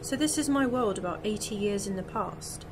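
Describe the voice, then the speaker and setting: A woman's voice: mid-range voice, moderate pace, noisy background, lightly acted. Woman playing curious young time-travel companion. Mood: sceptical. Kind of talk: fan audio drama acting. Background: music.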